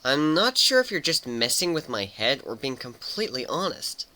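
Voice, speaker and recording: A man's voice: medium pitch, sing-song, normal speed, sharp tone, pitched above the performer's own voice, poor audio. A man playing a grumpy young male pegasus pony who mumbles and protests, in a cartoon fan fiction reading. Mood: wary, indignant.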